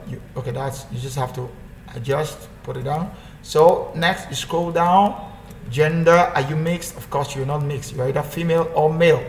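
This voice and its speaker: A man hosting a casual screen-share walkthrough, rambling and correcting himself as he repeats phrases. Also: fairly high pitch. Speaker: online earning tutorial host. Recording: echoey room with faint background hum.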